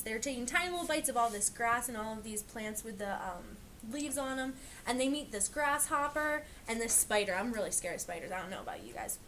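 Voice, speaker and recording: A woman reading a picture book aloud to young children, pausing to explain and point things out. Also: fairly high pitch, fairly bright tone, slight background noise. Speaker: reader teaching young children.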